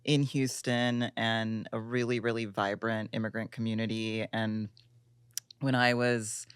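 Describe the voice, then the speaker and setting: A woman's voice: a bass voice, level tone, unhurried, clear, resonant tone, no room sound, studio quality. A woman from Texas, an organizer, answering interview questions in a gracious, casual way with some fillers. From United States, Texas.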